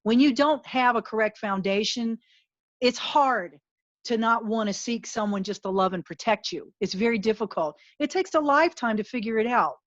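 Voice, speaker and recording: A woman's voice: fairly high pitch, animated, normal speed, no room sound. Earnest woman guest, dull dry audio. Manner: earnest, emphatic, motivational. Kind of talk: candid interview talk.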